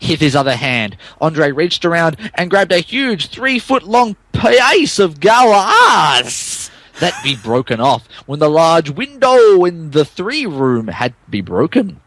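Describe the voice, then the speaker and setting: Slow, higher-pitched man narrating mock-dramatically. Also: big pitch swings, fairly bright tone, no echo. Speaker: podcast narrator. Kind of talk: mock-dramatic reading of wrestling fan fiction.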